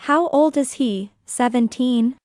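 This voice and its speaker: A woman's voice: high-pitched, slow, darkish tone, no echo, studio quality. A woman reading short English prompt phrases plainly for a language drill.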